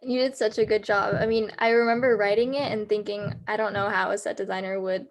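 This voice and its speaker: A woman's voice: medium pitch, little pitch movement, fairly quick, highs cut off. A woman in her late teens with an American accent, a student playwright talking casually and enthusiastically about her script. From United States. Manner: enthusiastic, explanatory, with fillers like "like". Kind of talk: casual discussion on a video call.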